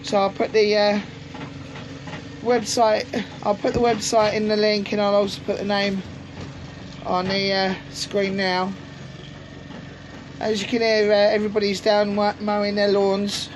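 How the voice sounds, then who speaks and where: A woman with a gruff voice from a sore throat, chatting casually and rambling with many ums as she shows round her greenhouse on camera. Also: medium pitch, monotone, a little slow, long pauses. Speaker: allotment vlogger. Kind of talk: casual walk-through chat. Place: greenhouse on an allotment, outdoors. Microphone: camera on a tripod. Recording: outdoor noise, lawnmowers and traffic behind.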